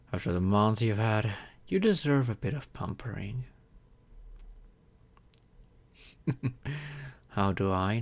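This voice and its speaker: A man plays a wealthy vampire with courtly manners in an intimate ASMR roleplay. He speaks very slowly in a fairly low voice, gentle and doting, with measured, slightly formal phrasing. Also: heavily acted.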